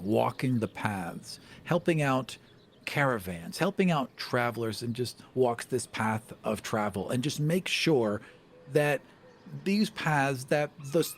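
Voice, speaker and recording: A man with a husky voice improvising as a player in a tabletop game, often in mock-solemn, formal phrases. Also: mid-range voice. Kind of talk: improvised tabletop role-play with casual narration.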